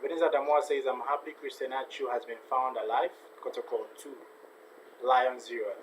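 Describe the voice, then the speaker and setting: A man's voice: mid-range voice, fairly even intonation, moderate pace, low-quality recording. A man hosts a casual football watch-along and reads out viewer messages. He talks in a mid-range voice, often repeating himself. Faint background hiss sits under the voice. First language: not a native Ghanaian; speaks with a foreign accent. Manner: chatty, repeats phrases, reads viewer comments aloud. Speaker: live stream host.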